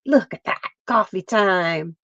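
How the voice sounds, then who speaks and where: A woman's voice: medium pitch, takes it slowly, creaky, bright, forceful tone, no echo, muffled recording. A middle-aged woman from Pennsylvania, chatting casually with viewers while she crafts on a livestream. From central Pennsylvania.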